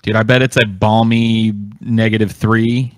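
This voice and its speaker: Low-voiced man chatting casually. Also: flat delivery, measured pace. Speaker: podcast co-host. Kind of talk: casual joking chat.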